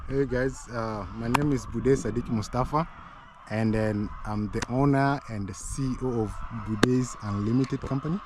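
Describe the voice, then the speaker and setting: A young man from Tanzania, a business owner being interviewed, talking in a relaxed, plain-spoken way. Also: mid-range voice, moderate pace. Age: young adult. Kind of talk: casual interview. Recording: noisy outdoor recording.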